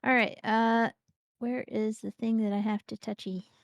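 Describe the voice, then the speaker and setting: Woman streamer, pitched a bit high, playful rambling chat, dry close sound. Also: little pitch movement, moderate pace, vocal fry, no room sound, muffled recording. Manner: chatty, playful, trails off and restarts. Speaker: co-host streaming a game. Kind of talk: casual gameplay chat.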